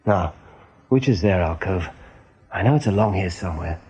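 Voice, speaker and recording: Steady, kindly rabbit, very husky. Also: male voice, low voice, normal speed, dull audio, exaggerated voice. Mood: concerned, puzzled. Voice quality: breathy, husky. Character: steady, kindly male rabbit looking after his friends. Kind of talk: radio play acting.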